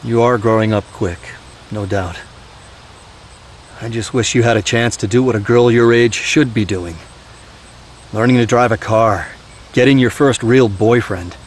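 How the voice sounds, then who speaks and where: Male actor, haunted veteran father, subdued, confiding, slightly deep, radio drama, faint cricket ambience. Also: natural voice. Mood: tender, regretful. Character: weary, haunted old soldier, gentle father. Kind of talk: naturalistic audio drama acting. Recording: steady outdoor night-insect noise.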